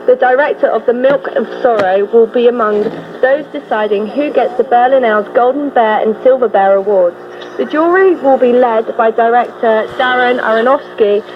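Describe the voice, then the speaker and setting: A woman reading scripted news as a presenter, picked up on shortwave radio with static. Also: a little high. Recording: noisy, dull shortwave radio reception. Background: radio static and noise.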